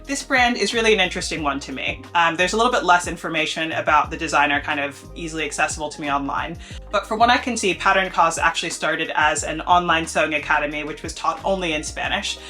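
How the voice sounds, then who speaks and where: A woman's voice: sits low, quick pace, sharp tone, soft background music. An enthusiastic young woman chatting on her sewing vlog, a little congested from allergies. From United States. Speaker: sewing vlogger. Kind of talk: casual upbeat vlog talk.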